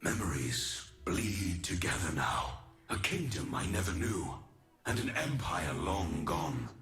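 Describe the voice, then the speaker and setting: Slow, deep, noble tormented warrior. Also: male voice, very animated, fairly bright tone, faint music behind, exaggerated voice. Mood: anguished, brooding. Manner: solemn, measured, poetic. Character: noble, tormented ancient male warrior resisting dark corruption. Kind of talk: scripted video game character lines.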